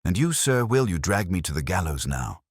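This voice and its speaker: A man's voice: low-pitched, sing-song, normal speed, no echo, studio quality. A man voicing an injured, weary and composed woman in a mystery story, with a faint, subdued manner. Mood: wary.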